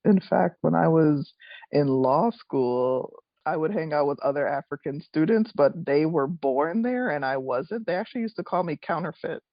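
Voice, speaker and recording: A man hosting a podcast, asking measured, thoughtful interview questions. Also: fairly high pitch, lively intonation, dry recording. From USA. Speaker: podcast host. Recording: dry, dull lo-fi recording.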